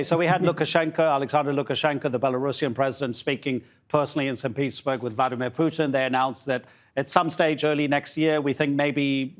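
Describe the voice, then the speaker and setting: A man presenting live news and interviewing a guest in a chatty, informal way. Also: mid-range voice.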